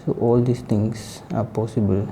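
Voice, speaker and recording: A man giving a casual, unscripted product review in halting, short phrases. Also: fairly low pitch, level tone, noisy background.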